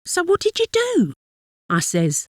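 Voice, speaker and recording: An actress playing a chatty working-class London wife in a dramatic monologue, fond and mildly exasperated, confiding in a gossipy, colloquial way with a London accent. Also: female voice, extremely high pitch, huge pitch swings, a little slow, dry recording, studio quality, exaggerated voice. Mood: gossipy, puzzled. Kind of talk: dramatic monologue, conversational storytelling.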